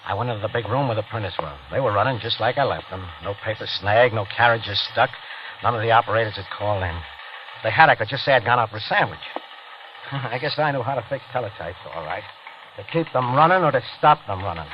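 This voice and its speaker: An actor as a guilty, scheming American man in an old radio thriller. He talks quickly in a nervous, confiding stammer, with wide swings in pitch. The recording is muffled and hissy. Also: lively intonation, close to an everyday voice. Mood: tense, confiding. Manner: stammering, jittery, confiding in narration. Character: nervous guilty night-shift teletype repairman, scheming and evasive.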